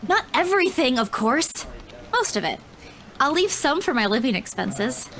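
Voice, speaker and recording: A woman's voice: high voice, very animated, moderate pace, a bit bright, exaggerated voice. A woman playing a timid, earnest young female estate agent in a visual novel, hesitant and polite with a slight stammer. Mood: unsure.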